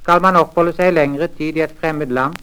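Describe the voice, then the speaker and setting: A man reading a scripted language lesson formally, with measured, careful enunciation on a vintage record. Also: on the high side, steady tone, sharp tone, clear, resonant tone. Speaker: language course reader.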